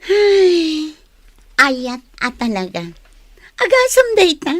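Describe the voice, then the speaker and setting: A woman's voice: sits high, sing-song, takes it slowly, dry recording, heavily acted. A woman playing an eager, agreeable village friend in an Ilocano radio drama, with an Ilocano accent.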